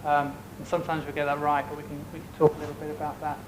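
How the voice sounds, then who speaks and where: A man from the United Kingdom moderating a conference panel in a relaxed, informal way with many fillers. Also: fairly high pitch, very fast. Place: conference stage. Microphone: handheld stage mic. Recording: echoey conference room with background noise.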